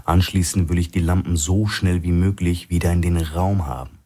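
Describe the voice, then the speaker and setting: A man's voice: low-pitched, fairly even intonation, normal speed, warm tone. A man voicing a menacing male bank robber boss who gives curt, threatening orders in a thriller audiobook. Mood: commanding.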